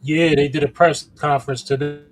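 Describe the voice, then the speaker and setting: A man's voice: on the high side, fairly even intonation, brisk. A man talking casually as a panelist on a livestream, with a noisy connection. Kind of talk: casual chat.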